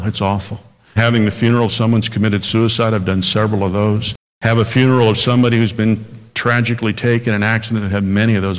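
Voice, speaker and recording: A man preaching a radio sermon, earnest, slowing down when he quotes scripture. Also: fairly low pitch, in a large hall. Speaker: pastor.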